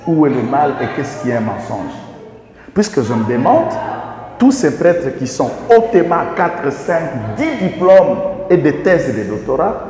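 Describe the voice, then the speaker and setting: A man's voice: fairly high pitch, sing-song, unhurried, a little mellow. A man with a Congolese accent giving impassioned political commentary as a guest, emphatic, with rhetorical questions and repeated lists. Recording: echoey reverberant room, faint background noise.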